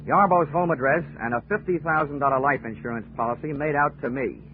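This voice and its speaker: An actor playing a cocky, wisecracking insurance investigator who narrates wryly in a 1940s radio drama. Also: male voice, a little high, a little fast, slight background noise, natural voice. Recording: tinny old 1940s radio recording with music.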